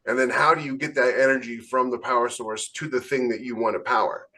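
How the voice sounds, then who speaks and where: A man's voice: medium pitch, fairly even intonation, a little fast, pushed and bright. A man co-hosting a casual podcast discussion, explaining things with enthusiasm as a writer. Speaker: podcast co-host and science fiction writer.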